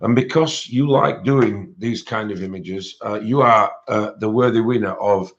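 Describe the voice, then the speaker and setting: A man with a British accent and a croaky voice, hosting a casual livestream and chatting with viewers, rambling with many fillers. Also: on the low side, measured pace, a little hiss or hum. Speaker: livestream host. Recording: dull lo-fi stream audio.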